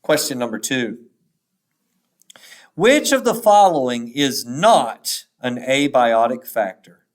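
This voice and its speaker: A man speaking as a teacher, reading test questions aloud in a deliberate, instructional way with pauses between the choices. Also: mid-range voice, animated.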